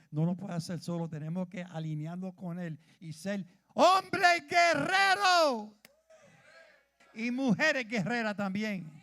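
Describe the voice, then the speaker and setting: Fervent high-voiced man preaching. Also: fairly bright tone. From Puerto Rico. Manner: fervent, calls out questions to the congregation, stumbles over words. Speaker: church leader preaching. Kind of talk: sermon.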